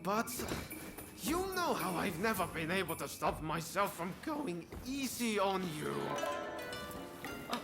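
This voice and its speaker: Affable rebel swordsman, animated. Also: high voice, a little slow, gravelly, heavily acted. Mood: flustered, placating. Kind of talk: video game cutscene acting. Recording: game audio under loud music.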